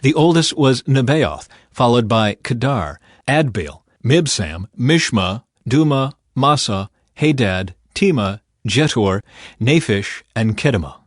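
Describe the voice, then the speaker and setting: A man reading scripture as a scripted audiobook narration, with measured, dramatized storytelling delivery. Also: medium pitch, animated, dry recording, studio quality. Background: background music.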